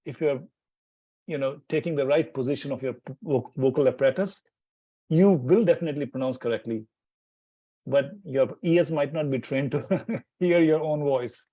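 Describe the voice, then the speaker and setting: A man's voice: mid-range voice, moderate pace, dark tone, no room sound. Rambling man, muffled sound. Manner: rambling with many restarts and fillers. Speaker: Hindi teacher, interview guest. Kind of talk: casual interview chat.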